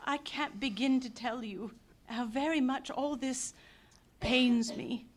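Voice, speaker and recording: A woman's voice: high voice, slow. Actress voicing a fiery young pacifist Englishwoman. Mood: somber. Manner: impassioned, declamatory. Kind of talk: live stage radio play acting.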